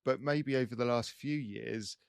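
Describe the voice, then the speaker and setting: A middle-aged British man speaks as a podcast host in a conversational way. His voice is mid-pitched with noticeable creak at the edges. The sound is close and dry, with hardly any room. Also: normal speed, no room sound.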